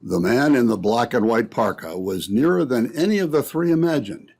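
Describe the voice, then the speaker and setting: Man, scripted old-time radio narration, husky tone, varied animated pitch. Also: moderate pace.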